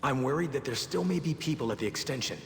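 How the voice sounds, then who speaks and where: An actor playing a modest, dutiful heroic prince in a fantasy video game, speaking earnestly and courteously. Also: male voice, mid-range voice, animated, quick pace, vocal fry, slight background noise. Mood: modest, concerned. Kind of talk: dramatic video game dialogue. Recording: reverberant, loud music underneath.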